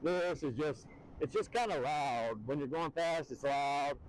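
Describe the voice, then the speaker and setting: Deep-voiced man riding an e-bike. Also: animated, unhurried. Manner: rambling, many fillers. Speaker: e-bike vlogger. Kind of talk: casual ride-along review. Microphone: helmet mic. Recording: wind-muffled helmet mic.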